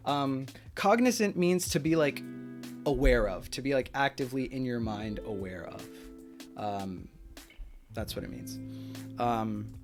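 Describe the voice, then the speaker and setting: A young man, a streamer, talks through a chess game at an average pitch, circling back with fillers and restarts. His throat is strained from talking for hours. Faint music plays behind him. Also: animated, a little slow. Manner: rambling, with many fillers and restarts. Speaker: streamer reviewing chess games. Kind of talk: casual game analysis.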